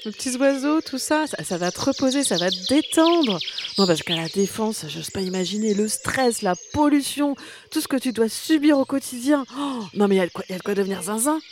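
A woman's voice: on the high side, lively intonation, a little fast, noisy background, lightly acted. Give me a woman playing a cheery, soothing country woman.

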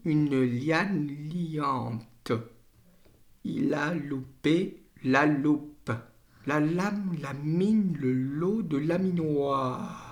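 A man's voice: fairly high pitch, drawn-out words. A man doing speech therapy exercises, speaking carefully and hesitantly as he practices sounds. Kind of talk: articulation exercises.

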